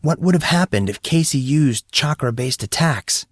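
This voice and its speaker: A man's voice: mid-range voice, animated, normal speed, dry recording, clean studio sound, voice pushed up, close to an everyday voice. A man voicing a thoughtful, puzzled fourteen-year-old boy musing to himself in an audiobook story. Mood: pondering. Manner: questioning, musing.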